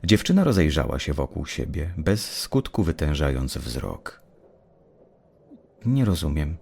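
A man narrates dark fiction in a very deep voice with a gravelly creak. His pitch moves expressively through the scripted storytelling. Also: sing-song, moderate pace. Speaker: audiobook narrator.

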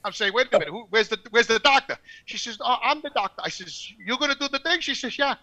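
A man's voice: very high voice, fast. A middle-aged man telling a rowdy story on a video-call podcast through a headset mic, laughing and swearing. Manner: excitable, laughing, swearing, loud when worked up. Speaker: regular panelist. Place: home office.

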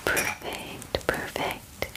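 A young adult woman doing a whispered ASMR personal-attention roleplay as a spa groomer, gentle and soothing, with a breathy voice and softly repeated words, close to the mic in a small room. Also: extremely slow, rough recording. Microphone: close mic, speaking near it.

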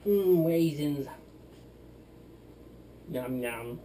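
A man's voice: fairly high pitch, expressive, very slow, dark tone, slight background noise. A drunk young man rambling and playing silly while he bakes in a small home kitchen, recorded by a camera across the room. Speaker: vlogger. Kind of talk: drunken cooking demo vlog.

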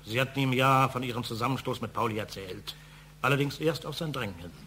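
A man's voice: mid-range voice, fast talker, sharp tone, slight background noise, lightly acted. A man acting in a German audio drama as a stern, unruffled police inspector with a formal, accusatory way of talking. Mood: probing, accusatory.

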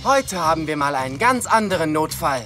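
A man's voice: fairly high pitch, sing-song, a little fast, music in the background. A young-sounding male actor playing a confident boy team leader in a cartoon audio play.

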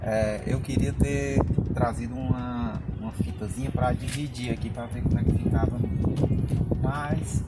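A man's voice: medium pitch, steady tone, moderate pace, loud music behind the voice, low-quality recording. A Brazilian man in his thirties chatting casually in a parked car outdoors while cleaning it, explaining each step. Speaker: vlogger. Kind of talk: casual vlog chat.

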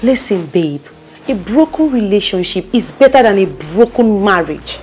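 Actress playing young Nigerian woman, fiercely loyal friend, animated, insistent, repetitive, Pidgin slang, music behind. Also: medium pitch, normal speed, natural voice. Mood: firm, consoling. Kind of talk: naturalistic film drama acting.

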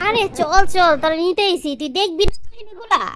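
Woman doing a squeaky, bossy cartoon best friend. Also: a little fast, music in the background. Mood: pushy, amused. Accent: Bangladeshi. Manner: pushy, eager gossiping. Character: excitable, bossy young female gossip, best friend. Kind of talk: comic cartoon dubbing.

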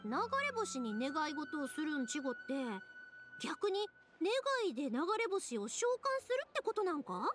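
A woman voicing a cheerful, warm-hearted young woman in an anime game, with a Kansai accent and a bubbly, expressive delivery. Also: high-pitched, normal speed, over music. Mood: cheerful, curious. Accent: Kansai Japanese.

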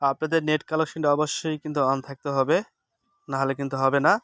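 A man's voice: on the high side, flat delivery, quick pace, a bit bright, clean tone, no echo, clean studio sound. A man giving a casual step-by-step tutorial, instructive and repeating himself as he goes. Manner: instructive, repeats steps and seeks confirmation. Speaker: tech tips host. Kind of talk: casual screen-recording tutorial.

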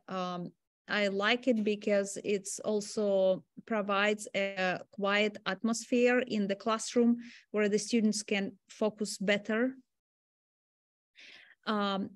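A woman's voice: medium pitch, dry recording. A middle-aged woman, a teacher, explaining her classroom tools on a video call. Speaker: teacher, presenter. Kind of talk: explanatory webinar talk.

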